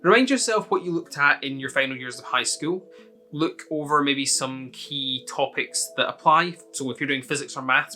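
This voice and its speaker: A man's voice: fairly high pitch, pushed and bright. A young Scottish man, a university student, giving friendly, step-by-step advice as he answers viewers' questions one by one. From Scotland. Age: young adult. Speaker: student video host. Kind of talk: casual advice talk to camera.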